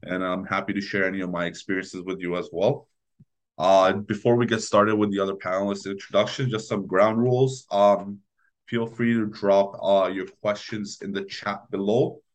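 A man's voice: fairly low pitch, little pitch movement, normal speed. A man, around his thirties, moderating an informal panel discussion as its host.

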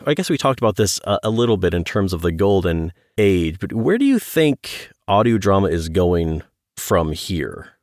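A man's voice: low-pitched, sing-song, normal speed, a little mellow, no echo, clean studio sound. A man hosting a conversational interview, speaking in a thoughtful, appreciative way. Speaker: podcast host, interviewer.

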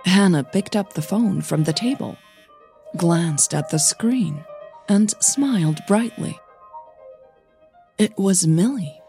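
A woman's voice: fairly low pitch, darkish tone. A woman narrating a fiction audio drama in a scripted storytelling style.

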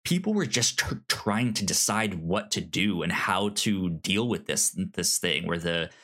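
A man hosting a review podcast in a casual, halting way, often stammering over the starts of his words. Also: low voice, animated, sharp tone. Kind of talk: casual podcast chat, giving recommendations.

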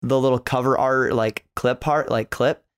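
A man's voice: medium pitch, steady tone, normal speed, smooth. A man chatting casually as a guest on a podcast. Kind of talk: casual chat.

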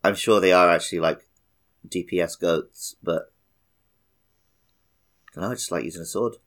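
A man's voice: low-pitched, moderate pace, fairly bright tone. A man co-hosting a game podcast, chatting casually, laughing often and trailing off mid-sentence. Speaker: podcast co-host. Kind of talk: casual chat about a video game.

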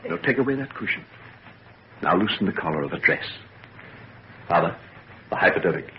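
Earnest young gentleman scientist, obsessive and theatrical, low-voiced, on a dull vintage radio recording. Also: very animated, normal speed, a little hiss or hum. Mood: urgent. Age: young adult. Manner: earnest, formal, theatrical delivery. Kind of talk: old-time radio serial acting.

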